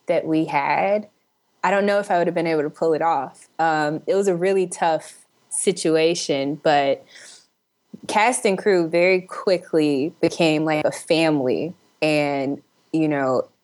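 A woman's voice: fairly low pitch, darkish tone. A woman chatting casually and upbeat as an interview guest, talking like someone who works in film. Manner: upbeat, chuckles while talking.

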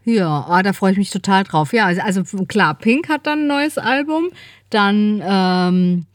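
A woman's voice: mid-range voice, animated, measured pace, no echo, studio quality. A woman in her fifties chatting casually and informally as a podcast co-host. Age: 50s.

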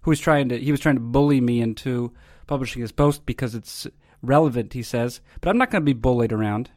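A man's voice: mid-range voice, brisk, darkish tone, no room sound. A man hosting a podcast with dry, wordy, mock-formal delivery and wry banter. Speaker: podcast host.

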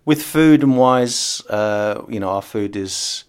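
A man's voice: on the low side, slow, smooth. A middle-aged man from the UK, a podcast guest talking casually with many fillers and restarts. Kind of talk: casual interview conversation.

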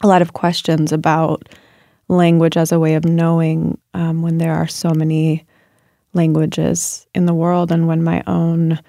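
A woman's voice: sits low, monotone, measured pace, vocal fry, dark tone, no room sound, clean studio sound. A woman writer answering interview questions in a thoughtful, searching way, with many fillers and restarts. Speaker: writer and guest.

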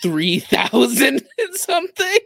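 A man's voice: very high-pitched, big pitch swings, slow pace, creaky, fairly bright tone, dry recording, clean studio sound. A man co-hosting a podcast in casual chat, with a wry, joking manner. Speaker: podcast co-host.

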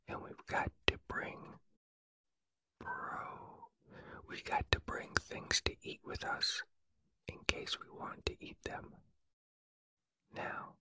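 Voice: very high-pitched, bright, forceful tone, exaggerated voice. A storyteller voicing a naive, eager, muddled male toy bear from a children's storybook in a whisper. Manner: whispered, simple, wondering.

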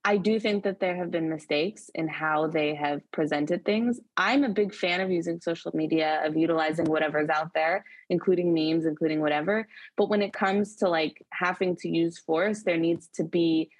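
A woman's voice: on the low side, fairly even intonation, normal speed, hardly any pauses, poor audio. A woman guest, a social media and PR expert, speaking confidently and with emphasis in a podcast conversation. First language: English.

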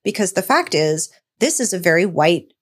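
A woman with a lowish voice chats as a podcast co-host. Her style is casual. She makes her points bluntly and with emphasis. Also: very animated, normal speed, breathy edge.

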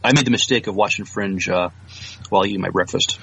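A man's voice: deep voice, fast, gravelly, fairly bright tone, lo-fi sound, a little hiss or hum. A man co-hosting a podcast in casual chat, giving brief, agreeable replies. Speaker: podcast co-host.

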